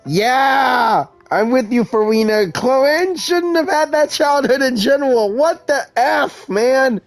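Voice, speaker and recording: A woman gamer comments casually in a mid-pitched voice that swings widely. She talks slowly, with exclamatory, opinionated reactions to the game. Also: animated, soft background music.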